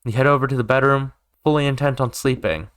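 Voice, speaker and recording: A man's voice: mid-range voice, quick pace, fairly bright tone, clean tone, no room sound. A man reading a visual novel aloud as a gaming YouTuber, sometimes stepping in with casual asides.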